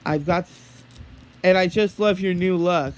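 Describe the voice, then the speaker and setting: A man talking in an earnest, halting way, as if holding a one-sided phone conversation. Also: sits high. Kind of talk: one-sided pretend phone call, rambling monologue.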